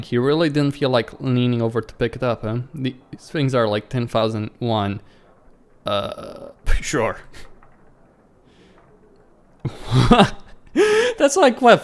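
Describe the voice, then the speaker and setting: A man hosting a let's play, reading a visual novel's story aloud as narrator and adding casual asides. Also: medium pitch.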